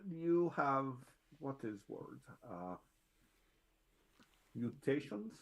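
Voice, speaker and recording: A man voicing a blunt Russian-born superpowered man in a tabletop game, with a put-on Russian accent, husky, halting as he gropes for English words. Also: mid-range voice, very animated, pitched above the performer's own voice, heavily acted, rough recording. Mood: matter-of-fact. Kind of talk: tabletop roleplay in character.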